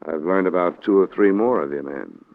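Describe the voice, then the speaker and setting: Hard-boiled American private investigator for vintage radio crime drama: low, world-weary, dry and clipped, muffled old recording. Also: male voice, normal speed, gravelly. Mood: pressing, dry. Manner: terse, dry, pointed questioning. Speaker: lead actor and narrator. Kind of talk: 1940s-50s radio detective acting.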